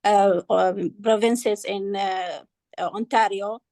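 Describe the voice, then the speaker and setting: A woman from Syria, a student in an online class, answering haltingly over a video call and pausing often to search for words. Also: medium pitch, fairly even intonation, over a noisy crowd.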